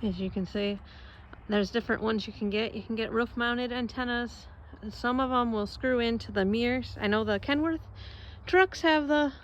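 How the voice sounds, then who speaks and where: A woman with a slightly high voice talks casually as a vlog host. She explains things in plain, unscripted words, like someone showing you around. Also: muffled recording.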